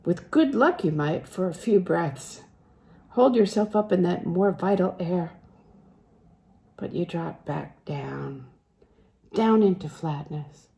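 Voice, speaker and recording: A woman's voice: on the low side, animated, takes it slowly, gravelly, long pauses, warm tone. A woman reading literary fiction aloud as an audiobook narrator. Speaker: audiobook reader.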